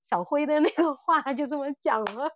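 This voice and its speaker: A woman's voice: high-pitched, moderate pace, dry recording. Dull-sounding dry recording of a chatty woman. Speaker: podcast co-host. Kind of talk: casual chat about audio dramas.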